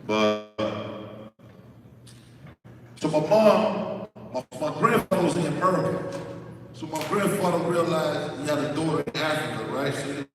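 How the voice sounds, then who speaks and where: A man's voice: on the high side, lively intonation, a little slow, pauses often, over music. Guy from liberia doing a motivational talk, slangy life story, far off mic in a big reverby hall. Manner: informal, slangy, frequent checking phrases. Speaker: motivational speaker. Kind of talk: personal life story told to a live audience. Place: stage in a large room. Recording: distant echoey hall, long reverb, faint noise.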